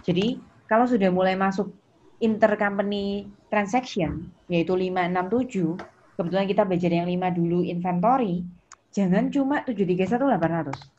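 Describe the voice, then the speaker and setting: A woman's voice: medium pitch, fairly quick, dark tone. An Indonesian woman teaching an accounting lesson, questioning students, repeating key points and prompting them. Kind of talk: interactive online lesson, questioning students by name. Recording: muffled lo-fi audio with background noise.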